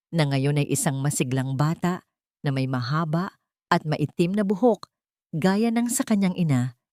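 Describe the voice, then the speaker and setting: Female narrator, lower register, for sentimental drama storytelling; solemn delivery, very dry close studio sound. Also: darkish tone, dry recording. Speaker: narrator of love stories.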